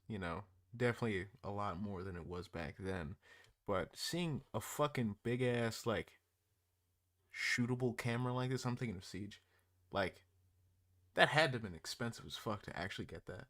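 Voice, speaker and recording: A man's voice: mid-range voice, moderate pace, a bit bright. A man giving casual, slangy live reaction commentary as a streamer, with swearing and joking asides. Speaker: streamer reacting to horror videos.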